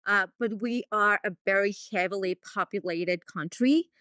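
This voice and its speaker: A woman's voice: medium pitch, normal speed, sharp tone, clear, resonant tone, no echo. A woman from Mexico with a Mexican accent and Spanish as her first language, answering interview questions conversationally as a veterinarian. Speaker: veterinarian, podcast guest.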